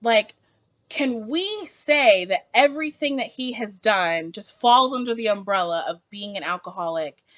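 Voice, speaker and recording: A woman's voice: medium pitch, moderate pace. A woman in her twenties talking emphatically in a casual opinion vlog, with lots of "like" as she works through her thoughts. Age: 20s. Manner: animated, emphatic, heavy use of "like". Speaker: book vlogger.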